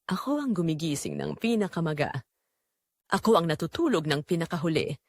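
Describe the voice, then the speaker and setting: A woman's voice: low-pitched, huge pitch swings, fairly quick, a little mellow, no room sound, clean studio sound, heavily acted. A woman with a Filipino accent playing a weary, anguished migrant-worker mother, telling her story in an emotive, confiding audio drama narration. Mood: weary, pained.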